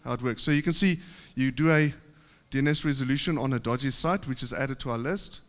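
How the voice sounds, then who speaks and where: A man with a South African accent gives a technical talk from a podium microphone. His pitch sits in an ordinary middle range, and the long reverb of a large conference hall trails behind each phrase. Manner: steady explanatory delivery, occasional filler pauses. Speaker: conference presenter.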